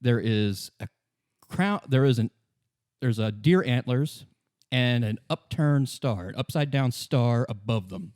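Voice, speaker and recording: A man's voice: mid-range voice, lively intonation, unhurried, a little mellow, no echo, clean studio sound. A man acting as game master, narrating the game in a casual, colloquial, improvised way. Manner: conversational, colloquial.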